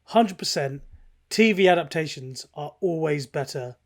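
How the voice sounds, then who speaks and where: A man's voice: on the high side, expressive, normal speed. A man co-hosting a podcast, giving opinions in an informal, sweary way. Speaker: podcast co-host. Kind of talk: casual, opinionated podcast chat.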